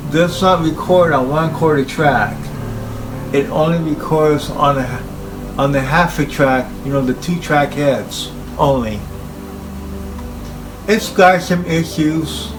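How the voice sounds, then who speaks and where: A man giving an informal, halting talk about equipment as a hobbyist presenter, speaking in short phrases. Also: a little high, rough recording. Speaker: hobbyist presenter showing his tape machines.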